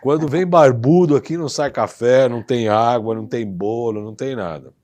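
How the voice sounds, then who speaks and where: A man's voice: mid-range voice, moderate pace, darkish tone. A man from Brazil hosting a live TV interview in a studio, talkative, teasing and emphatic. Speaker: TV show host.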